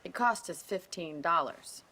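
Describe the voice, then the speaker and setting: A woman's voice: fairly low pitch, animated, measured pace, bright, forceful tone, heavily acted. An actress playing a blunt, wry young ranch woman in a video game, dry and direct. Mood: wry scolding. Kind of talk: video game cutscene acting.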